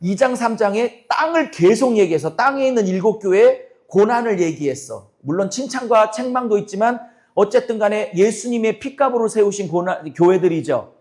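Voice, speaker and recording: A middle-aged man preaching a sermon to his congregation, his voice hoarse and cracking. He fires rhetorical questions at the listeners and speaks bluntly and forcefully. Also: high voice, animated, fairly quick. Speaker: pastor.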